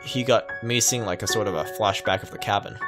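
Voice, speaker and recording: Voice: medium pitch, a little fast, over music. A let's player reading a visual novel aloud dramatically with casual asides, stumbling over words and correcting themselves.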